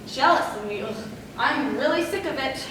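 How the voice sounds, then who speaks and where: A woman's voice: high voice, lively intonation, normal speed. A woman acting in a stage play as a vain, self-dramatizing movie star in her forties, with a grand theatrical manner. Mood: defensive, self-pitying. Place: stage in a large hall, far from microphone. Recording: distant, echoey, noisy stage recording.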